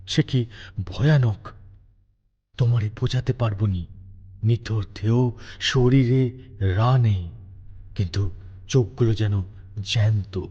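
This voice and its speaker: A man's voice: medium pitch, warm tone, slightly rough, big-room sound, lo-fi sound. A man voicing a guilt-ridden village man, troubled and full of dread, in an audio drama. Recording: music behind the voice.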